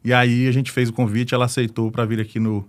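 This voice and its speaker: A man's voice: mid-range voice, flat delivery, quick pace. A man from Brasília hosting a live interview show, opening with an upbeat greeting and chatting easily with his guest. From Brasília, Brazil. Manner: upbeat, chatty, leans on "né" tags. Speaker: podcast host.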